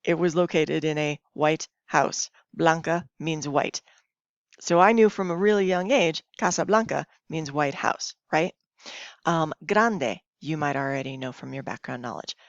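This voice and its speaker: A woman teacher talks through a recorded lesson at a very unhurried pace. Her voice sits slightly low, and she explains instructively, often checking in with short questions. The recording is somewhat dull and lo-fi. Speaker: Spanish teacher. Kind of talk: recorded classroom lesson, reading slides aloud. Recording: dull lo-fi, roomy home recording.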